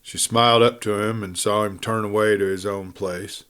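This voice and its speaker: A man narrating a western novel as an audiobook, in a measured, deliberate storytelling style with dramatic pauses. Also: fairly low pitch, steady tone, a bit bright, clean tone.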